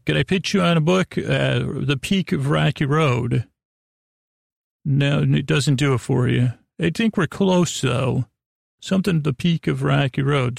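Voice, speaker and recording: A man hosting a podcast with a silly improvised monologue to an imaginary guest, halting and stammering as he meanders and restarts in mock earnest. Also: on the high side, creaky, dry recording, studio quality. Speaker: podcast host.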